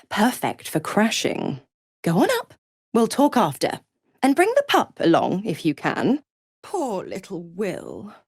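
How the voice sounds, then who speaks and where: A woman's voice: medium pitch, no room sound, clean studio sound, heavily acted. A woman playing a mocking, scheming female devil in a video game, theatrical, teasing and condescending. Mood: mocking, smug. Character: seductive, mocking, scheming female devil, smugly cruel patron. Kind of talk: video game character acting.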